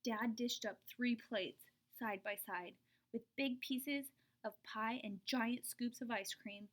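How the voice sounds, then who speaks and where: A woman reading a children's picture book aloud, expressive, dropping to whispers for suspense and pitching up for the child's lines. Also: takes it slowly. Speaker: storybook reader.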